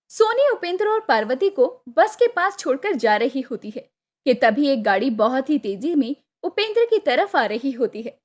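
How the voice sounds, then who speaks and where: A woman storyteller with a very high voice and lively intonation. She reads scripted narration for a cartoon moral tale.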